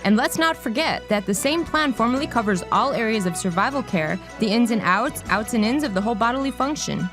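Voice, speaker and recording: A woman's voice: a little high, expressive, fairly quick, lightly acted. A woman playing an earnest news anchor in a satirical radio drama, with formal, portentous delivery. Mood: official. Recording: music playing under the voice.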